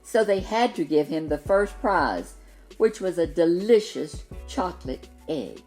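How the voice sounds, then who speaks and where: A woman storyteller giving an expressive reading of a children's story. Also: lowish voice, measured pace, soft background music.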